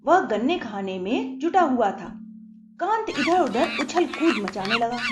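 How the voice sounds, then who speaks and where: A woman narrating a scripted story for children in an expressive, dramatised way. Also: on the high side, unhurried, heavy background noise. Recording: echoey dull recording under loud music.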